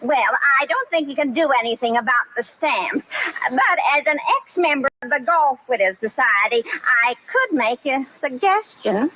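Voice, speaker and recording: Droll housemaid, high lilting voice, sly, deadpan, 1940s radio comedy, muffled recording. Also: female voice, moderate pace, a little hiss or hum. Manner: deadpan, storytelling. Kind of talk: 1940s radio sitcom acting. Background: studio audience.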